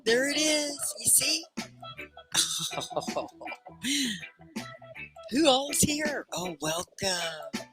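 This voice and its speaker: A woman's voice: sits high, sing-song, very slow, pauses often, over music, low-quality recording. An American woman hosting a live English class, cheerfully greeting viewers by name and laughing often. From United States. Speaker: English teacher and livestream host. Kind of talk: livestream class chat. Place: home studio near Arkansas–Oklahoma border.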